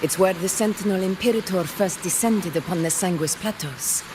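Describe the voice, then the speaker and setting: An actress playing a proud, commanding gladiator leader in a video game, speaking formally with stately self-assurance. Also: female voice, lowish voice, moderate pace, noisy background, natural voice. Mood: amused, confident. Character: proud, commanding female gladiator war leader, regal and battle-hungry. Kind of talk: dramatic video game character dialogue.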